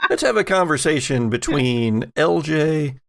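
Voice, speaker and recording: Male game master, unhurried and fry-edged, dramatic narration with offhand asides. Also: a little high, big pitch swings, fairly bright tone. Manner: dramatic storytelling mixed with casual asides. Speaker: game master of an actual-play podcast. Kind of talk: narrating a tabletop roleplaying game.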